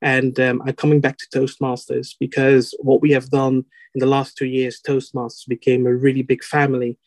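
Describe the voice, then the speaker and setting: A man's voice: medium pitch, completely flat delivery, normal speed, darkish tone, no room sound. A Dutch man whose first language is Dutch, answering interview questions as a podcast guest, hesitant with many fillers and restarts. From Netherlands.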